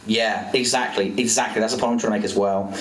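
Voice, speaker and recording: A man's voice: lowish voice, fairly even intonation, quick pace. Exasperated, sweary man in an echoey room. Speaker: livestreamer. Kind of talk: heated livestream rant.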